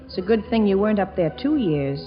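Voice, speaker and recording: An actress playing a sly, teasing young con artist in a 1940s radio comedy, coy and self-possessed. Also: female voice, mid-range voice, lively intonation, fast, close to an everyday voice. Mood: playful. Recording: muffled 1940s radio broadcast, music behind.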